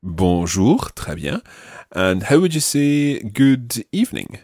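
A man's voice: mid-range voice, very animated, slow, a little mellow, no echo, clean studio sound. A man teaching a language lesson, patiently prompting and carefully repeating phrases. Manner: patient, instructive, carefully enunciated.

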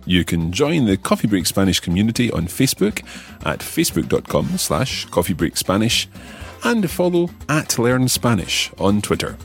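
Low voiced guy teaching grammar, super animated intonation, encouraging, dry close mic. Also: measured pace, faint music behind. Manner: patient, encouraging, lively intonation. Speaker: teacher and podcast host. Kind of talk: explaining grammar in a language lesson.

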